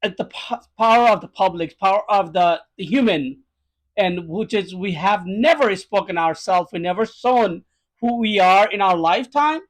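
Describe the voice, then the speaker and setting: A man with a high voice and a Nepali accent talks as a podcast guest. He gives a personal account conversationally, in non-native phrasing, repeating words for emphasis. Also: normal speed. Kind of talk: conversational first-hand account.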